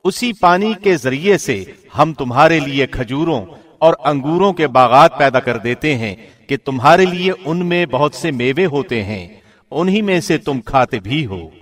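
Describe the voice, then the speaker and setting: Man, somewhat high pitch, formal scripted reading, measured solemn phrasing, radio presenter delivery. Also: animated. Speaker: translation reader, radio host.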